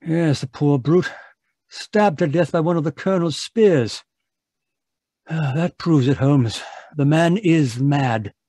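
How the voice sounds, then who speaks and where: A man's voice: on the high side, slow pace, warm tone, no echo, clean studio sound. A man playing an earnest, easily alarmed doctor and detective's sidekick, fussy and old-fashioned, in radio mystery style. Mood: alarmed, indignant.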